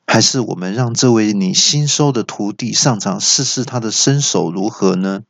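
A man voicing a gentle, composed male monk in his own plain voice. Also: lowish voice, creaky, no room sound. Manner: gentle, measured.

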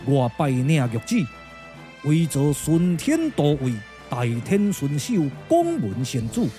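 A man voicing a solemn, courteous but unyielding Taoist commander, speaking in measured, formal declamation for a puppet-theatre radio drama. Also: on the high side, big pitch swings, warm tone, soft background music. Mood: firm, defiant.

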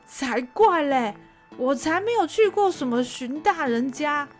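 A woman playing a defiant, sharp-tongued boy thief in a radio play, with a very high, piping voice. He protests indignantly, refusing to back down. Faint music plays behind. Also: expressive, a little slow. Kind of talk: radio drama acting.

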